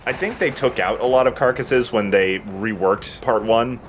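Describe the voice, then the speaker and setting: A man's voice: on the low side, moderate pace, a little hiss or hum. A man giving casual, joking commentary while he plays a video game. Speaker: let's play host playing the game.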